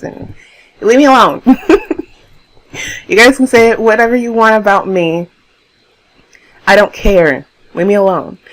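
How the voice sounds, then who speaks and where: A young woman talking casually over her game build in a rambling, chatty way, laughing often, her voice hoarse. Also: medium pitch, poor audio. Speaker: YouTuber narrating a game build.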